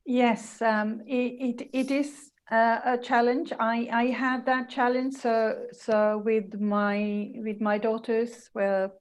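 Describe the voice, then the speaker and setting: A woman's voice: a little high, little pitch movement, slow pace, hardly any pauses. A middle-aged woman co-hosting a live video chat, talking with many restarts and hesitations. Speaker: co-host. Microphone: video call.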